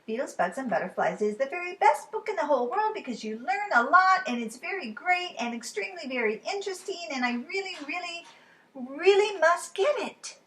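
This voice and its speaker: A woman voicing a small, excitable little girl who is obsessed with her favourite book, reading in a loud, pleading, whiny way. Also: extremely high pitch, brisk, recorded from a distance, voice pushed up, exaggerated voice. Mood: gushing.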